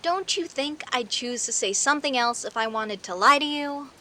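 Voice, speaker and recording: A woman's voice: high voice, lively intonation, bright, forceful tone. A woman playing a friendly, teasing werecat neighbor in an audio roleplay, talking conversationally and straight to the listener. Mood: earnest, insistent. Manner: teasing, indignant, conversational with sighs and pauses. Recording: faint hiss and rain noise. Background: rain ambience.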